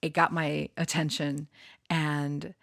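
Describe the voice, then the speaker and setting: A woman's voice: low-pitched, flat delivery, takes it slowly, vocal fry, a bit bright, dry recording, clean studio sound. A woman rambling casually to her online followers, giggly and prone to swearing. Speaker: online storyteller and channeler.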